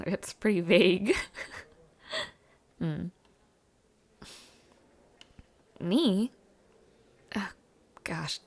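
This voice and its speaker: A young woman acting in an intimate audio roleplay as a warm, shy, playful university student, with a hesitant, giggly, softly teasing way of talking. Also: mid-range voice.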